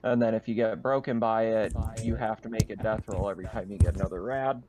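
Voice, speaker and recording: A man's voice: on the low side, steady tone, fast talker. A man acting as game master for a tabletop roleplaying game, casually explaining the rules over an online voice chat. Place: online voice call. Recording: slightly noisy, dull online voice-chat audio.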